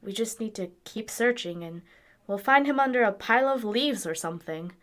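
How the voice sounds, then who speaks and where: A woman's voice: on the high side, lively intonation, moderate pace, close to an everyday voice. A woman acting a nonchalant young woman. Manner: casual, nonchalant. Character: unbothered, dismissive young female companion. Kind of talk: audio drama acting.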